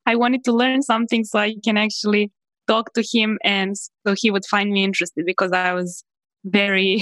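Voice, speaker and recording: A woman's voice: mid-range voice, no room sound. Candid woman from Serbia, dry sound. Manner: candid, laughing as she talks. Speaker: guest entrepreneur. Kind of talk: casual interview answers.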